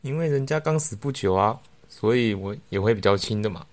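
Teenage boy as a low-voiced sly young trickster. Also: animated, fairly quick, lightly acted. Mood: innocent. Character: sly young man feigning innocence as a new ghost. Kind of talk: amateur student radio drama acting.

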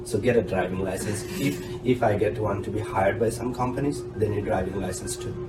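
Low-voiced Indian man giving blunt advice. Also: steady tone, fairly quick. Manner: frank advice-giving, emphatic. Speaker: guest, doctoral graduate. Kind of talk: podcast interview. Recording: echoey room with loud music bed.

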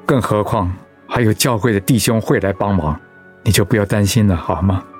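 An actor playing a seriously ill but patient, encouraging husband in a Mandarin radio drama. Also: male voice, fairly low pitch, moderate pace, lightly acted. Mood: earnest, reassuring. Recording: music under the voice.

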